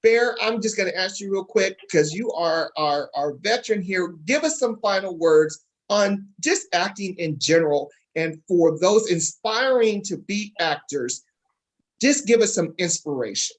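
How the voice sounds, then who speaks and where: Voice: mid-range voice, animated, unhurried, rough recording. Upbeat-paced show host with mid-range voice. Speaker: host interviewer. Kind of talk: promotional interview hosting.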